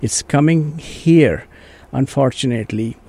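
A man's voice: medium pitch, measured pace, creaky, mellow, slightly rough, dry recording. A man from Pakistan, a native Urdu speaker, answering radio interview questions as a guest in an earnest, thoughtful way, often restarting his sentences. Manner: earnest, thoughtful, with frequent restarts and "you know". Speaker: guest, orthopedic surgeon.

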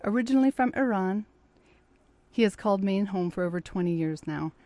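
A woman hosting an interview show, introducing her guest and asking questions in a measured, clear manner. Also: medium pitch, animated, warm tone, no room sound. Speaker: interview host. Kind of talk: radio-style interview.

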